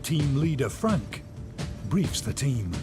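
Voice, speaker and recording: Male documentary narrator over loud music. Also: medium pitch, sing-song, slow. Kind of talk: scripted dramatic documentary narration.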